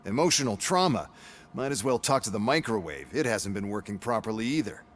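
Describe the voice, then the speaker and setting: A man's voice: on the low side, lively intonation, a little fast. An actor playing a contemptuous male corporate overseer in a game cutscene, mocking and dismissive. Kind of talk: video game cutscene acting.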